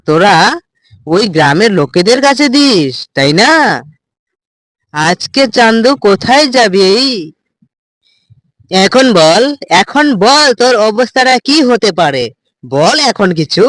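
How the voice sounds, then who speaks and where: A voice actor playing a menacing, taunting male village thug in a cartoon, with a slow mocking drawl. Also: mid-range voice, fairly bright tone, dry recording. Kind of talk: cartoon voice acting.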